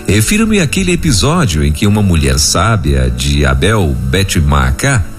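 A man's voice: sits low, very animated, moderate pace, over music. A man hosting a live radio program, chatting jovially, laughing often and reading listener questions aloud. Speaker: radio host.

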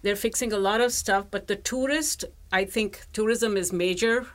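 A woman's voice: medium pitch, normal speed. A woman from India with an Indian accent, speaking casually and enthusiastically as an interview guest, with plenty of emphasis. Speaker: interview guest, travel professional. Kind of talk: casual conversational storytelling.

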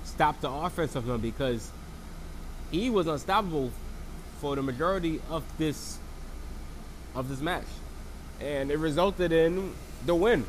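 Sick man with a froggy voice reviewing a wrestling show, rambling, a bit high-pitched, faint hiss behind. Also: a little slow, pauses often. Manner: rambling, lots of fillers and restarts. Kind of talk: casual solo recap.

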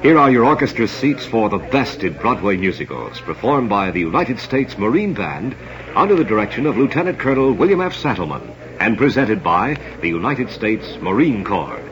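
A man's voice: fairly low pitch, very animated, moderate pace. A man hosting an old-time radio theatre program with formal, theatrical showmanship. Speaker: radio show host and announcer. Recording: dull old radio recording, crowd noise. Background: murmuring crowd.

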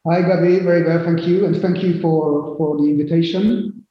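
A Hungarian man in his fifties with a Hungarian accent, speaking English as a winery guest in a remote interview, halting with many restarts. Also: on the high side, fairly even intonation, heavy reverb, dull audio, slight background noise.

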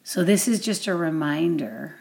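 A woman giving a guided meditation introduction as an instructor, with deliberate, measured phrasing and long pauses. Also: sits low, expressive, vocal fry, a little mellow.

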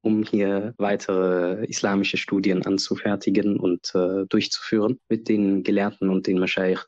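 A man's voice: low-pitched, darkish tone, dry recording, highs cut off. A young man from Egypt who grew up in Berlin, chatting as a podcast guest in a jokey way, peppering his talk with Arabic phrases. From born in Egypt, raised in Berlin from age eight. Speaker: podcast guest, Islamic studies student. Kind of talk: casual podcast interview talk.

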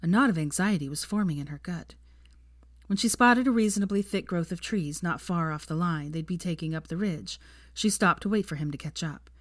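A woman narrates a piece of fiction in an expressive audiobook manner. Her voice sits slightly low. The recording is close and dry, with very little room around it. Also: brisk, dry recording.

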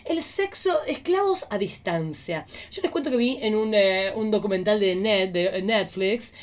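A woman's voice: mid-range voice, animated, a little slow. A woman with an Argentine accent hosting a casual comedic chat in a playful, theatrical, exclamatory way. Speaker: podcast host.

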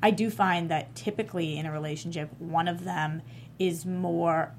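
Woman with a low register, informal panel-talk delivery, audible noise around the voice. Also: steady tone, normal speed. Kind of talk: casual panel discussion. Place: indoor studio panel. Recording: clear room noise.

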